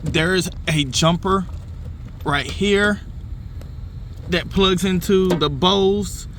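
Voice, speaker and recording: Higher-voiced man vlogging over clear noise. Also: extremely slow, fairly bright tone. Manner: informal, slangy, swears casually. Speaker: car audio vlogger. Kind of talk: casual hands-on install vlog talk. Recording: noisy vehicle and outdoor phone-video sound.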